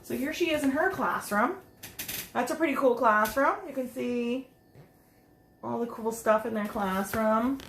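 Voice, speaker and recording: High-voiced woman reading a picture book, roomy sound. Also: a little slow, a little hiss or hum. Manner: expressive, simple explaining, asking children questions. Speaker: preschool teacher. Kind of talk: children's picture book read-aloud with chatty asides.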